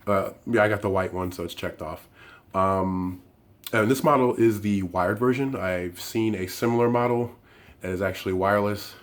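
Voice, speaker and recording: A hoarse man, his voice worn down by the flu, hosts a casual tech unboxing and review, rambling with many fillers and restarts. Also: on the low side, normal speed. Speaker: tech reviewer and channel host.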